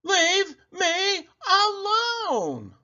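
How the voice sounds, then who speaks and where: A man voicing a fed-up old grandmother in a storybook, with a loud, high shriek and drawn-out exasperated shouting. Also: wildly swooping pitch, lo-fi sound, exaggerated voice.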